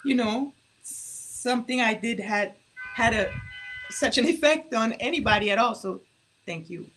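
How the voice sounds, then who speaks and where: Woman from Congo, native French speaker, bright, lively storyteller on a video call. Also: on the high side, measured pace, faint music behind, low-quality recording. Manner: lively, laughs often, tells long stories. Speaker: interview guest, singer. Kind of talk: casual video call interview. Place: home room indoors.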